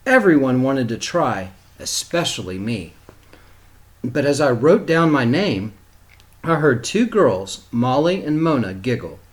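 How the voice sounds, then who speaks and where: Very expressive man reading a storybook. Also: mid-range voice, a little slow. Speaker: teacher reading aloud to young children. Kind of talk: expressive storybook read-aloud.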